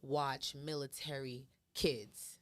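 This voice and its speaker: A woman from Florida, a daycare owner, chatting casually and telling animated, slangy stories on a podcast. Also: rumbling low, slow.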